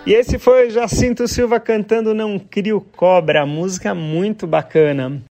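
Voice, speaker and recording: High-voiced gravelly radio host. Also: male voice, a little slow, over music. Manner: relaxed, chatty, with asides. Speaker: radio host presenting music. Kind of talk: casual radio presenting.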